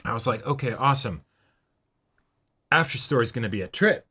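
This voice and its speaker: A man with a mid-pitched, bright and crisp-edged voice, chatting casually as a reaction-show co-host. His phrasing circles around, restarting and slipping in asides as he works toward his point. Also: lively intonation, brisk. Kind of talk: casual chat about an anime episode.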